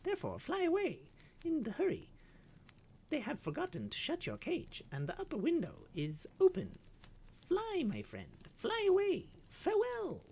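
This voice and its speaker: A woman's voice: sits high, wildly swooping pitch, moderate pace, dark tone, pitched above the performer's own voice, heavily acted. A woman doing a wistful homesick canary. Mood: urging. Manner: lyrical, yearning, sing-song.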